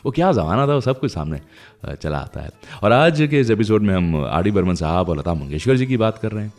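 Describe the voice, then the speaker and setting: A man with a deep voice hosts a radio show, swinging expressively through his sentences. He speaks conversationally, like someone sharing fond old memories, and pauses between thoughts. Music is heard along with his voice. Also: big pitch swings, darkish tone. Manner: nostalgic storytelling. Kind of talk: conversational radio presenting with anecdotes.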